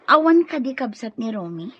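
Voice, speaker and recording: A woman playing a curious, excitable listener in a radio drama, inquisitive and exclaiming. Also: fairly high pitch, normal speed, breathy edge. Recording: dull audio with faint ambient noise.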